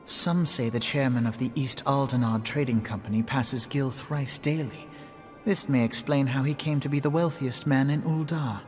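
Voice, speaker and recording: A man's voice: mid-range voice, steady tone, normal speed, long reverb tail, soft background music, lightly acted. A man voicing a dry, sardonic council member. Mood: wry.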